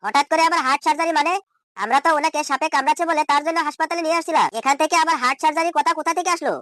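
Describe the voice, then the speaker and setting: Guy doing an indignant village man for a cartoon, voice shifted up high, talks fast, keeps repeating questions. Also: bright, forceful tone, no room sound, clean studio sound. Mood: puzzled. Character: exasperated, scolding ordinary village man. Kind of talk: amateur comedy cartoon dubbing.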